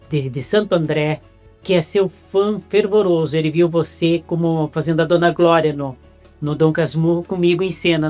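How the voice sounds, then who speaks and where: This is a man with a Brazilian Portuguese accent who hosts a relaxed interview. He jokes easily and often stumbles and restarts his words. Quiet music runs faintly in the background. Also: on the high side. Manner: playful, joking, stumbles over words. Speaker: interviewer host.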